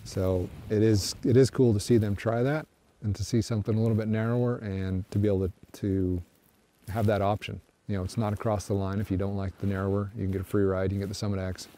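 A middle-aged man sitting on a snowmobile in the snow, giving a casual review to the camera in a relaxed, halting way with many restarts. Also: fairly low pitch, slight background noise. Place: outdoors on snowy flat by trees. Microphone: camera framed from a distance, seated on a snowmobile.

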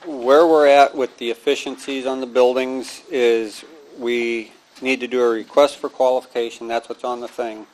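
A man's voice: medium pitch, fairly even intonation, moderate pace, hardly any pauses, a little mellow, rough recording. A man giving a committee report to a county board, plain-spoken and hesitant with many ums, in a large meeting room.

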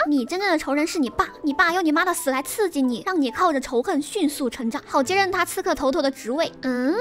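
Girl recapping a novel with a high voice, playful and teasing. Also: fairly bright tone. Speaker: novel recommender. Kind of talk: humorous plot retelling.

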